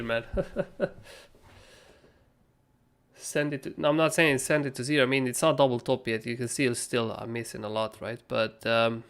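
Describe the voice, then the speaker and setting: A young man with a hoarse, raspy voice, casually talking through trading charts as a livestream host, rambling and swearing freely. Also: mid-range voice, fairly bright tone. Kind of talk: casual live chart analysis.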